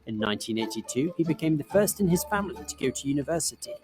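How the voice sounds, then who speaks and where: A man reading scripted explainer narration in a crisp, measured way. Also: fairly high pitch, big pitch swings, music in the background. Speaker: documentary narrator.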